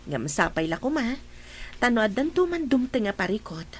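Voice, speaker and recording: A woman's voice: a little high, big pitch swings, fairly quick, slight background noise. A woman acting as a caring mother and wife in a radio play, polite and grateful. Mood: calm.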